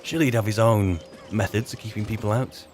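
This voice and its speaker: A man's voice: fairly low pitch, fairly even intonation, fast talker, darkish tone. A British man doing casual in-character commentary while playing a fantasy game, wryly muttering to himself. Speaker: player narrating a roleplay of a fantasy video game. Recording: game music behind the voice.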